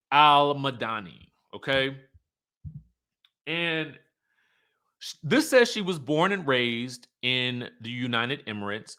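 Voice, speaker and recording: A man's voice: medium pitch, bright, forceful tone. A man hosting a reality TV commentary show, speaking in a conversational, emphatic, opinionated way, sometimes reading out cast bios.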